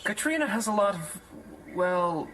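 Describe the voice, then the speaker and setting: A young, earnest confidant in an audio drama. His voice is fairly high and very bright, with a hesitant, cautioning delivery. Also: very animated, measured pace, exaggerated voice. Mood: worried.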